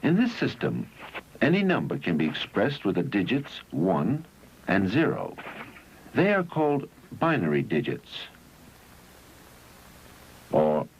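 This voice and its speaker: Dull noisy vintage film recording, man narrator, lively intonation, instructional, measured phrasing. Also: lowish voice. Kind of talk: scripted educational film narration. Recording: dull, noisy 1971 educational film soundtrack.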